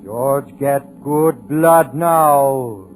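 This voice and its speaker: A dangerous, dim-witted madman in an old radio horror play. He talks extremely slowly in halting, childlike phrases, obsessive and menacing. Soft music sits behind the vintage recording. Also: mid-range voice, low-quality recording. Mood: eerily hopeful. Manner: halting, childlike broken speech. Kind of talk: 1940s radio horror acting.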